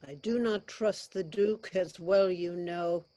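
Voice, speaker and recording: A woman's voice: on the low side, takes it slowly, dark tone, dry recording. Woman acting a dry-sounding, courtly loyal lord. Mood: earnest, loyal. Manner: formal, courtly. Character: Lord Clifford, loyal courtly English nobleman adviser. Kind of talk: classical verse stage acting. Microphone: video call.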